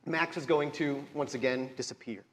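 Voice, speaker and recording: A man's voice: medium pitch, moderate pace. A man working as game master, narrating a tabletop horror game live with dramatic, descriptive storytelling. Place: live stage at a gaming convention. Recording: echoey hall, live convention show.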